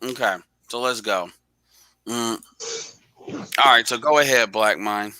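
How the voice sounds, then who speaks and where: A man's voice: medium pitch, slow, pushed and bright. A man hosting a live audio stream in casual chat, blunt and profane, talking straight at his listeners.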